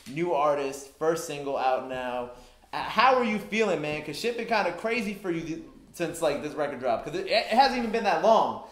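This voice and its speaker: A man's voice: fairly high pitch, expressive, normal speed, a bit bright. A man hosting a casual hip-hop interview in a recording studio, talking with hype and enthusiasm. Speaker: interview host. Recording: echoey studio room.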